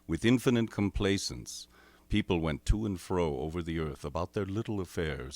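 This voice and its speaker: A man playing a grave narrator of an alien invasion tale in a radio drama, solemn, measured and ominous. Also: rumbling low, no echo. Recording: dry close recording with music behind.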